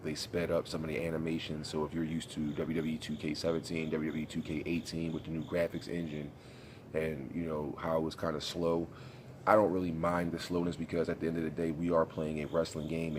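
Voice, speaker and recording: Very deep-voiced congested young man. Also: flat delivery, fairly quick, noisy background. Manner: laid-back, rambling, slangy. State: congested, sniffly. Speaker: gaming YouTuber. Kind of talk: casual vlog commentary.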